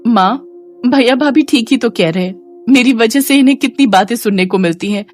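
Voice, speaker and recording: A woman's voice: fairly high pitch, fairly quick, darkish tone, faint music behind, pitched above the performer's own voice, lightly acted. Woman as a meek, sorrowful young woman. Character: sad, self-blaming young unmarried woman.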